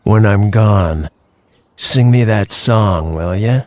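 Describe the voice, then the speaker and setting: A man playing a cool, menacing hitman with terse, casual street swagger in an animated game. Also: fairly low pitch, slow, no room sound, studio quality. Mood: cool, laconic. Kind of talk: animated game cutscene dialogue.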